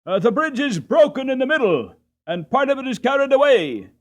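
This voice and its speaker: Man playing a frantic, high-pitched shouting gatekeeper. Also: sing-song, a little fast. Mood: alarmed, urgent. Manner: hollering, urgent. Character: frantic toll-gate man shouting warnings from afar.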